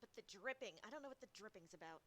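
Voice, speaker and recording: A woman's voice: mid-range voice, animated, very fast, sharp tone, a little hiss or hum. A woman giving casual, playful playthrough commentary as a game streamer, thinking aloud into a streaming mic.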